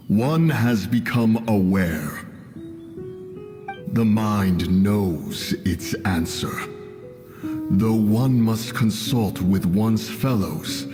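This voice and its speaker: An actor playing an ancient, stern and haughty divine mountain beast in a fantasy game, speaking in solemn archaic phrases. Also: male voice, fairly low pitch. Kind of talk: fantasy video game acting. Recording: long cavernous reverb, music behind.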